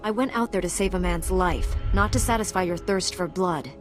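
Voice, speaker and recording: A woman's voice: on the low side, normal speed, soft background music, close to an everyday voice. An actress playing an earnest, principled young woman knight in a video game, composed in manner. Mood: firm compassion.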